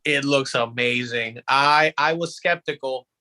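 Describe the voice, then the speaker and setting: Bright-voiced man, emphatic podcast talker. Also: medium pitch, measured pace. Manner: emphatic, repeats words and phrases. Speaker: podcast co-host. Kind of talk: casual pop culture discussion.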